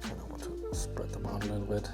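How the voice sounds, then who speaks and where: A man with a Danish accent giving a casual hands-on tutorial, haltingly thinking aloud as he works. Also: fairly low pitch, monotone, loud music behind the voice. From Denmark. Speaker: fly tying instructor and YouTuber.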